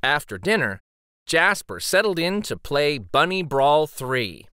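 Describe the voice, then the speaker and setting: A man reading a children's story aloud dramatically, with theatrical, suspenseful pauses. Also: fairly high pitch, very animated, bright, forceful tone, clear, resonant tone, no room sound, studio quality.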